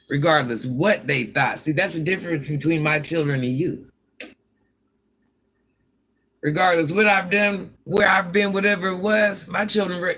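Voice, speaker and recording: A grandmother with a deep voice, chatting on a livestream and talking back to commenters with sass. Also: a bit bright, husky. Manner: sassy, talks back to commenters by name. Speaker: livestream host. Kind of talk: casual livestream chat.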